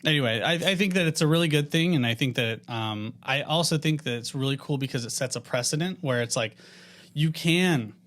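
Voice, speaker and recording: A man's voice: on the high side, brisk, fairly bright tone. A man co-hosting a podcast in casual chat, teasing and skeptical as he argues. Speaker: podcast co-host.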